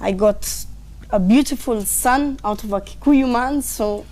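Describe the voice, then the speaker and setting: A woman guest from Italy speaking earnestly and warmly about home in a casual interview. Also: a little high, measured pace, low-quality recording. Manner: earnest, heartfelt.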